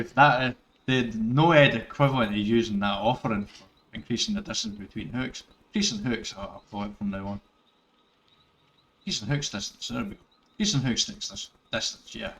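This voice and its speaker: A man's voice: mid-range voice, a bit bright. A middle-aged Scottish man giving rambling casual commentary over gameplay, correcting himself and chuckling as he goes. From Scotland. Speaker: gaming YouTuber.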